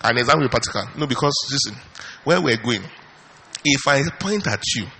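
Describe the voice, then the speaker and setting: A male pastor preaching with a lively voice that swings high and low. His tone is bright and a little husky, and he keeps firing rhetorical questions at the congregation and breaking in with hallelujahs. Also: fairly quick. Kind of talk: charismatic sermon.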